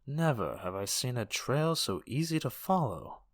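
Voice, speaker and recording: A man's voice: mid-range voice, sing-song, moderate pace. Man acting a solemn, swooping-voiced woodsman hero. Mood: confident. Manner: stiff, formal, archaic phrasing. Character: earnest young woodsman archer hero, formal and determined. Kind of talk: fantasy audio drama acting.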